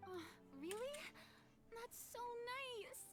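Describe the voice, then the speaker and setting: Very high, sweet young woman. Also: takes it slowly. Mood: delighted. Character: sweet, eager, easily delighted young woman. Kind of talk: video game voice acting. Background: game music.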